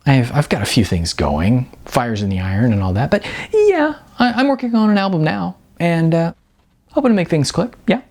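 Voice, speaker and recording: A man's voice: fairly high pitch, sing-song, normal speed, darkish tone, pitched above the performer's own voice. A man voicing an edgy, defensive young aspiring musician in an audiobook, insistent and stammering. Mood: awkwardly boastful.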